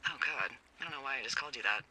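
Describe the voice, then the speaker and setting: A woman's voice: very deep voice, animated, rapid-fire, pushed and bright, heavily acted, poor audio. A woman playing a wry, profane fire lookout supervisor over a two-way radio, in natural, conversational video game acting with stammered repetitions. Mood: concerned, nervous. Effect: two-way radio filter. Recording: walkie-talkie sound with faint noise.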